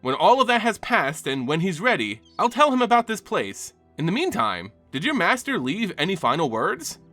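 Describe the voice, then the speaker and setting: A man voicing a calm, discreet male mage in a dramatic fantasy story reading, measured and matter-of-fact. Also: sits high, faint music behind, exaggerated voice. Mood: composed.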